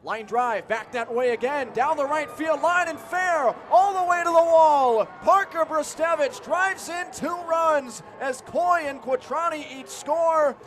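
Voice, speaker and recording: Male American radio sportscaster, very high-pitched, punchy clipped calls rising to shouts, over noisy venue crowd. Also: unhurried, few pauses. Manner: clipped phrases, building to excited shouts. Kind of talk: live sports play-by-play commentary. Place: sports venue broadcast position. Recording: loud arena and crowd noise behind. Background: crowd and venue noise.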